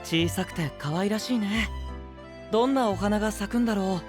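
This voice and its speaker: Soft, curious young anime knight played by a man. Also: sits high, normal speed, music in the background, close to an everyday voice. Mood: curious, delighted. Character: gentle, curious, polite young male knight from another kingdom. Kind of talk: anime voice drama acting.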